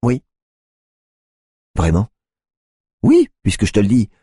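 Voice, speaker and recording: A man's voice: on the low side, lively intonation, a little fast, mellow, breathy edge, no echo, clean studio sound, pitched above the performer's own voice. A man voicing an agitated, drug-addicted female sex worker who mocks and swears at a detective. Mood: grudging. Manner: mocking, aggressive, swearing.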